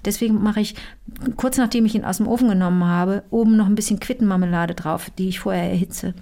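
A woman co-hosting a casual phone chat with a friend, talking in a lively, rambling way and drifting from topic to topic. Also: medium pitch, quick pace, creaky. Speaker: comedian and co-host.

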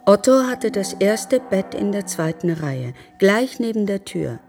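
A sombre woman narrates a radio play slowly in a low, gravelly voice. She is measured and detached, with faint music underneath. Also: big pitch swings, darkish tone. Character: sombre woman recounting grim memories of a children's home. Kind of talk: slow scripted radio drama narration.

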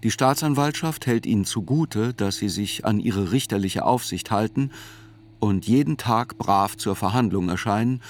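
An actor as a sober chronicler, narrating a radio drama in reflective, deliberate literary phrases. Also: male voice, fairly low pitch, a little mellow, a little hiss or hum, close to an everyday voice. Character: sober male chronicler narrating a criminal trial.

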